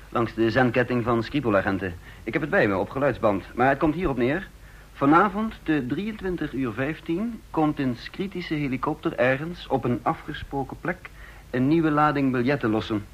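Muffled actor as methodical intelligence chief. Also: male voice, mid-range voice, moderate pace, husky, close to an everyday voice. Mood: earnest, deliberate. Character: brisk, methodical male head of a counterintelligence service. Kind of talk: Dutch radio play acting. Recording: muffled, dull radio play recording.

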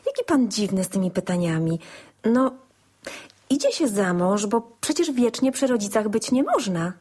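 An actress playing a naive, giggly young provincial bride-to-be in a period comedy radio play, artless and chirpy in manner. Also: female voice, medium pitch, sing-song, normal speed, darkish tone, husky. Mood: artless, matter-of-fact.

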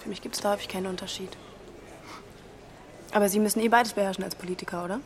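A woman's voice: mid-range voice, steady tone, fast talker, a little hiss or hum, natural voice. An actress playing a cool, shrewd woman in her twenties in a radio drama, direct and challenging in natural conversation. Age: 20s.

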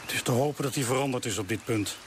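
A man's voice: medium pitch, fast talker, close to an everyday voice. An actor playing a blunt, scornful military man of thirty in a radio drama, with a forthright manner. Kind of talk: Dutch radio drama acting. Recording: noisy old radio play recording.

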